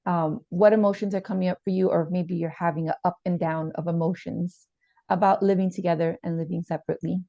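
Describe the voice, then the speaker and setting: A woman playing a calm, supportive family therapist, speaking in a reassuring, slightly hesitant way in a role-played session. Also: on the low side, fast, vocal fry. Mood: patient, encouraging. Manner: reassuring, hesitant, repeats gently. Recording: echoey room, slightly dull sound.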